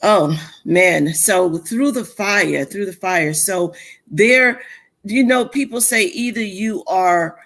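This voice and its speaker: Woman, New York accent, interview guest, steady, matter-of-fact. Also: medium pitch, slow. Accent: New York with a bit of South Jersey. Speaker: guest author and coach. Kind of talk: interview conversation.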